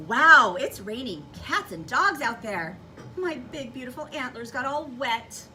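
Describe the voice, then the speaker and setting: A woman voicing a big, wowed moose in an exaggerated, expressive storybook read-aloud. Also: sits high, unhurried, echoey room, soft background music, pitched above the performer's own voice, full character voice. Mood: excited. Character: big cartoonish moose with proud antlers, exclaiming, wowed. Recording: noisy classroom, distant microphone.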